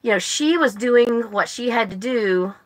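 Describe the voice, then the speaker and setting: A woman's voice: fairly high pitch, animated, unhurried, rough recording. A woman chatting casually to livestream viewers as a book club host, rambling and often interrupting herself. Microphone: phone.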